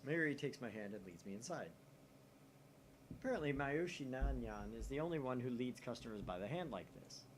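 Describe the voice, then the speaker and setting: A man's voice: mid-range voice, animated, brisk, slight background noise. A young man hosting a gaming video, reading visual novel narration aloud with wry asides. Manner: wry, reads narration with commentary. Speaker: let's-play host.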